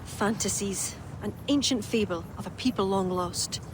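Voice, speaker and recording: An actress playing an earnest former druid noblewoman who speaks in a soft, confiding, measured way. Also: medium pitch, close to an everyday voice. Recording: game cutscene with clear background noise.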